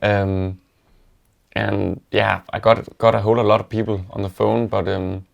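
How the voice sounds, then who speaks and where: Gravelly Danish-accented man, low and flat. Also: a little slow, highs cut off. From Denmark. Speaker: interviewee. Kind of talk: casual reflective storytelling.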